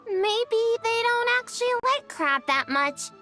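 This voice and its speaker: A woman's voice: expressive, a little slow, soft background music, full character voice. A woman voicing a small, cute, childlike female fairy creature in a video game, cheerful and earnest, with exclamatory delivery. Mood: excited, curious. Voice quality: high, bright, childlike.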